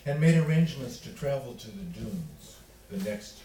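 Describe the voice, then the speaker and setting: Male reader for literary fiction: relaxed, mellow timbre, measured phrasing, recorded at a distance in a reverberant room. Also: mid-range voice. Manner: measured, deliberate phrasing with pauses. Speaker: author reading own work. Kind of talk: literary reading of narrative fiction. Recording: roomy, distant miking with faint noise.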